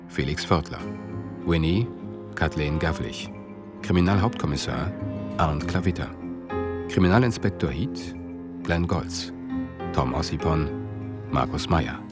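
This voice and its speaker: A man reading out scripted credits for a German radio drama. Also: extremely low pitch. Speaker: credits announcer. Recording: prominent music under the announcement.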